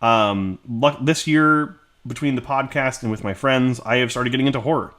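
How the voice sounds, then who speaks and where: A man's voice: medium pitch, moderate pace, fairly bright tone. A man co-hosting a podcast, talking casually and informally about movies. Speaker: podcast co-host.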